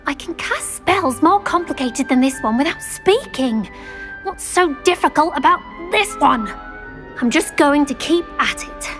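Teenage witch schoolgirl, British, high voice, earnest, emphatic, easily frustrated, game dialogue, faint music. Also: animated, unhurried.

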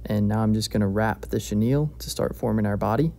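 A man calmly narrating a step-by-step fly-tying tutorial at his bench. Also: lowish voice, fast talker, gravelly. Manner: calm, steady, explanatory.

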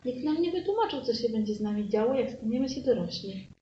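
A woman's voice: fairly high pitch, expressive, quick pace, recorded from a distance, natural voice. A woman playing a curious, naive country girl, questioning and plain-spoken, in an improvised audio play. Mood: wondering. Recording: roomy, dull, noisy live stage recording.

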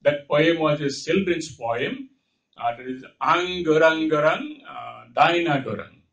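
An older man with an Indian accent gives an extempore lecture in a halting, emphatic way, repeating phrases. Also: on the high side, vocal fry, fairly bright tone. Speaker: speaker at a panel talk. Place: lecture hall, at a podium. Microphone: podium microphone, heard from far off. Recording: dull, distant hall microphone recording.

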